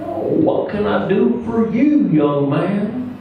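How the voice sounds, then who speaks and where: Man playing a slow, sly old carny, echoey. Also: high-pitched, very animated, narrow, tinny audio, pitched above the performer's own voice, exaggerated voice. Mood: sly, amused. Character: creased, leathery older carny man, amused and menacing. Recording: roomy hall, distant mic, faint noise.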